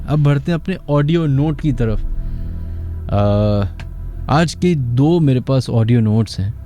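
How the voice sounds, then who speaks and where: A man hosting a late-night horror radio show, speaking in measured phrases with pauses. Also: mid-range voice, mellow. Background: background music.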